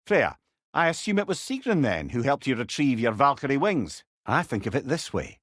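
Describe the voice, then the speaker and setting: A man's voice: mid-range voice, very animated, normal speed, a bit bright, no echo, studio quality. An actor playing a witty, learned old male sage in a video game, with a Scottish accent and a wry, eloquent way of talking. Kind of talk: video game character dialogue.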